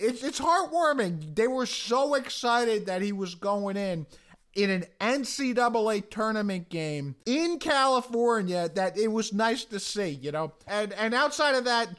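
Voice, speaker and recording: A hoarse American man co-hosting a casual sports podcast, speaking earnestly and often restarting his sentences. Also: high voice, a bit bright. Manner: earnest, many restarts and you-knows. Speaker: podcast co-host.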